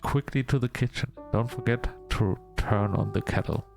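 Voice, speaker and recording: Gravelly-voiced man narrating a visual novel. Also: mid-range voice, normal speed, music in the background. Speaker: gamer reading a visual novel aloud for a video. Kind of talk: scripted narration with casual asides.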